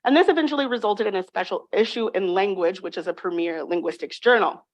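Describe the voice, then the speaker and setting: A woman lecturing on linguistics in a measured, articulate way, reading prepared remarks with emphatic phrasing. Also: medium pitch, muffled recording.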